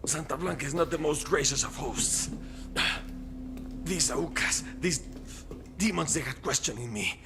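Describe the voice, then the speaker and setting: A man playing a battered, sardonic rebel prisoner, halting and gravelly. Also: a little high. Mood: pained, bitter. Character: battered, freed male rebel thinker, sardonic. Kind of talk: dramatic game cutscene dialogue. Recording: clearly noisy background.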